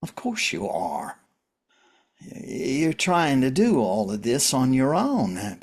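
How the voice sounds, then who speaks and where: Male actor as grieving widower, slow pace, animated pitch, earnest, pleading, halting, stage drama. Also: exaggerated voice. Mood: earnest, consoling. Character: grieving, pleading widower, recovering addict on a bridge. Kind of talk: script reading of a stage drama.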